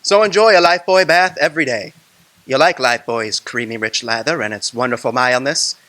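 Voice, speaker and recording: A man's voice: medium pitch, fairly quick, bright, forceful tone. A man reading an old-style radio soap advert in an upbeat, persuasive way. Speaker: announcer and narrator.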